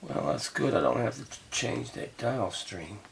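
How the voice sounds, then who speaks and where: A man with a creaky, gravelly voice talks casually through hands-on repair work. He half mutters to himself in an unscripted way as he looks things over. The recording has a light bed of noise from the workshop. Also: mid-range voice, lively intonation, normal speed. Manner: muttering to himself while inspecting and handling parts. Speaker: radio repair hobbyist narrating a restoration. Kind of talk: casual unscripted workbench commentary. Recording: noisy workshop recording with clicks.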